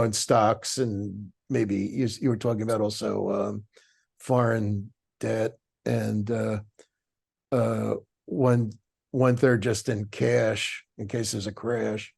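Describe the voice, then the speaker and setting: A man with a voice of middling pitch puts questions to the presenter. He hesitates, fills pauses, and restarts his sentences as he goes. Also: flat delivery, vocal fry, a little mellow. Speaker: audience member asking questions. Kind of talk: halting questions on a call.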